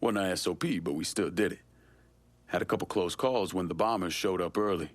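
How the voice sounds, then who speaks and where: A man's voice: low-pitched, a little fast, natural voice. A man playing a world-weary, hardened war veteran turned criminal in a video game, with a blunt, laconic manner. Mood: frank, somber. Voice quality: gravelly, husky. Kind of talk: dramatic video game dialogue.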